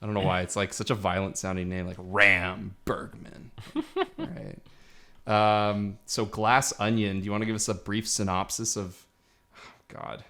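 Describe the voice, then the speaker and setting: A man's voice: on the low side, big pitch swings, unhurried, bright, forceful tone. A man co-hosting a casual movie review podcast, rambling and restarting his sentences, laughing often. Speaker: podcast co-host.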